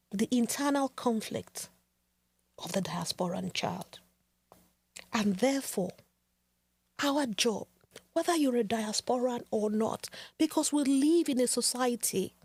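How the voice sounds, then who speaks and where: Slow, earnest woman podcast host. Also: fairly high pitch, a little mellow, breathy edge, dry recording. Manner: earnest, measured, rhetorical. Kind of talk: reflective podcast monologue.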